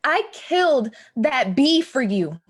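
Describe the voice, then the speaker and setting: A woman plays a wounded, anxious neighbor with a high voice. She pleads and reproaches earnestly, insisting on her point in halting, naturalistic stage dialogue. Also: sing-song, slightly rough, exaggerated voice. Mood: accusing, wounded.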